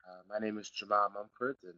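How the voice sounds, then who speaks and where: A middle-aged man from Philadelphia hosting a live online marketing class, slightly raspy from a sniffle, repeating himself and building to emphatic points. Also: on the low side, steady tone, brisk, sharp tone, no echo, dull audio. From Philadelphia, Pennsylvania. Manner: repetitive, restarts phrases, builds to emphatic points. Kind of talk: live teleseminar class, casual coaching.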